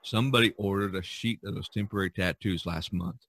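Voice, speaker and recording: A man's voice: deep voice, steady tone, a little fast, creaky, fairly bright tone. A man hosting a casual podcast about art, talking in a rambling, enthusiastic way and repeating himself. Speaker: podcast host and artist.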